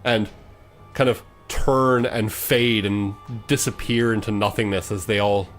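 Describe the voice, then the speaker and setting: A man's voice: fairly low pitch, fairly even intonation, a little slow. Man narrating a tabletop game, music behind. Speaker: game master.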